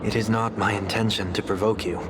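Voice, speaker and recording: A man voicing a composed, formal gentleman in a video game, earnest, measured and very polite. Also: lowish voice, fairly even intonation, background noise, natural voice. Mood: courteous, earnest. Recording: game music behind the voice.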